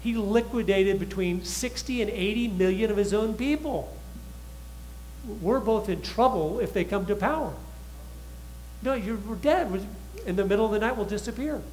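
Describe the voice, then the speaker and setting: High-voiced man speaking urgently. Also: lively intonation, normal speed, slight background noise, rough recording. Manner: urgent, emphatic, with restarts and retold quotes. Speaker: guest. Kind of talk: impassioned talk show monologue.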